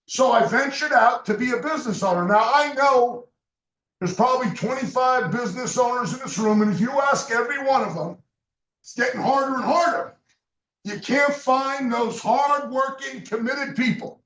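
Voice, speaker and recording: A man giving a loud, emphatic motivational sales speech to a roomful of guests, sometimes shouting to drive a point home. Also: extremely high pitch, moderate pace, a little hiss or hum. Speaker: business leader speaking at a recruiting event. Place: meeting room with an audience. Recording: echoey room, mic from far off.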